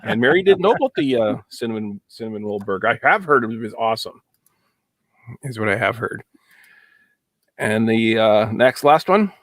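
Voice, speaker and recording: A man's voice: lowish voice, normal speed, long pauses, no room sound. Chill guy hosting a live show, jokey, lots of uhs, dry close sound. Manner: relaxed, joking, many fillers. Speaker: host. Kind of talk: casual live-stream chat.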